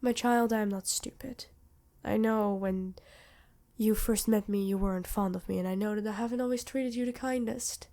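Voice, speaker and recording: Woman acting a measured, motherly vampire queen. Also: a little high, warm tone, natural voice. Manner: measured, earnest, dramatic pauses. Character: worried, motherly, proud vampire queen, stern and protective. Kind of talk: ASMR roleplay acting addressed to listener.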